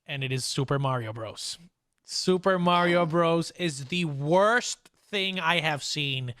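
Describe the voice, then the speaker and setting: A man hosting a casual podcast, talking excitably and repeating phrases for emphasis. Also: a little high, takes it slowly, no echo, clean studio sound. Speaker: podcast host.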